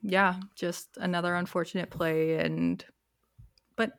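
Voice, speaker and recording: A woman's voice: fairly low pitch, flat delivery, a little slow, clear, resonant tone, no room sound, studio quality. Enthusiastic woman hockey podcast co-host. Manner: enthusiastic, laughs often, fan perspective. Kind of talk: casual sports podcast chat.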